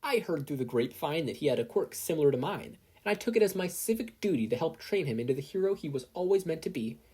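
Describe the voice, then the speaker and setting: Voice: medium pitch, very animated, fast, darkish tone, slightly rough, voice pushed up. A performer voicing an assured, easygoing female superhero mentor with a teasing manner in an audio story. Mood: firm.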